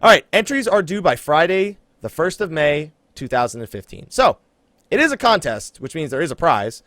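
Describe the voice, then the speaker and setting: Animated American man hosting a gaming podcast. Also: on the high side, normal speed, no room sound. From New Jersey, USA. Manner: jokey, informal, breaks off to laugh and ad-lib. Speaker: podcast host. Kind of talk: casual gaming podcast talk and announcements.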